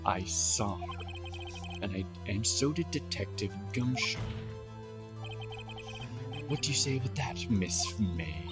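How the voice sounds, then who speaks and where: Young defense lawyer, voiced by a man; animated and emphatic over music. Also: on the low side, slow pace, long pauses. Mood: pressing. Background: game music.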